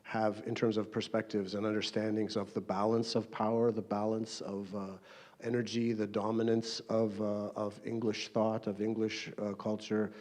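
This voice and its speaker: A man in his fifties speaks on a panel, his low voice dark and mellow. His pitch barely moves, and he picks his way through his words with frequent fillers and restarts. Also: level tone. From Canada. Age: 50s. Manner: halting, many fillers and restarts. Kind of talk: panel introduction.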